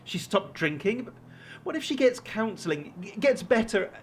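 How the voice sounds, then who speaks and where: A man's voice: high-pitched, sing-song, moderate pace, a crowd in the background. An actor playing an anxious, lovesick man in a radio drama, hesitant and pleading, stumbling over his words. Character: anxious lovesick man clinging to an abusive girlfriend.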